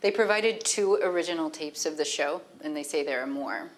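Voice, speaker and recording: A woman's voice: sits low, moderate pace, natural voice. An actress playing a worried, cautious female investigator, serious and matter-of-fact, in game dialogue. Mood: concerned. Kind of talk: naturalistic recorded meeting dialogue. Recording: noisy meeting tape.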